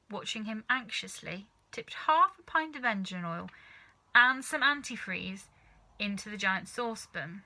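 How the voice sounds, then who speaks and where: A woman reading a children's story aloud as narrator, with expressive scripted narration. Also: a little high. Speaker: storybook reader.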